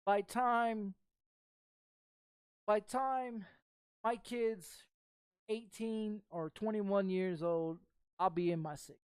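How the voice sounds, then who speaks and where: A woman in her thirties talks in a rambling, casual way at a middle pitch. She is blunt, swears heavily and repeats her phrases. The sound is very dry, as if on a close streaming mic. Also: fairly even intonation, takes it slowly, long pauses, darkish tone, clean tone, dry recording. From Texas, United States. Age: 30s. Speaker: game streamer.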